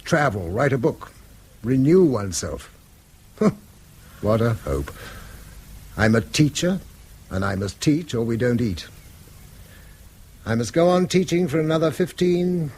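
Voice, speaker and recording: A man's voice: mid-range voice, sing-song, unhurried, pauses often, a little mellow, a little hiss or hum. A man acting a weary, bitter middle-aged schoolmaster and father in a British radio drama, speaking eloquently and self-reproachfully in a British accent. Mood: weary, despairing. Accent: British English. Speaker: actor.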